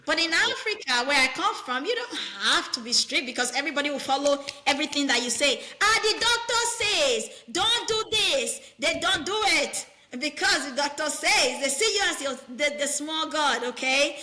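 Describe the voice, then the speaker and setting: Bright-voiced woman from Nigeria. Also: high-pitched, moderate pace, few pauses. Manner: animated, firm, storytelling with quoted patients. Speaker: nurse practitioner, guest. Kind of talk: conversational interview.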